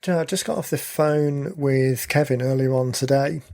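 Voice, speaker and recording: A man hosting a podcast, explaining things steadily in casual chat, his voice slightly croaky. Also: mid-range voice, moderate pace, dry recording. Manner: explanatory, steady, leans on "kind of". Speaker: podcast host.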